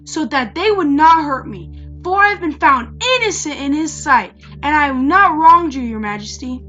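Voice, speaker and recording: A woman's voice: sits high, lively intonation, moderate pace, bright, forceful tone. A woman playing a faithful, earnest servant of God, declaiming emphatically in a dramatised Bible reading on muffled audio. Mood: joyful, earnest.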